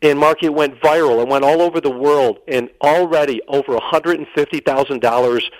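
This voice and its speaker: A man's voice: on the high side, fairly quick, no echo, poor audio. A middle-aged American man telling dramatic anecdotes as an interview guest, quoting dialogue as he goes. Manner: dramatic storyteller, quotes dialogue.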